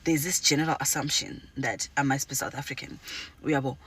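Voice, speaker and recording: A woman's voice: extremely low pitch, steady tone, fairly quick, a bit bright, slightly rough. A young woman from Zimbabwe speaking candidly and with animation, switching between languages mid-sentence, blunt and sometimes profane. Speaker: interviewee. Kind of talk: candid talk on camera.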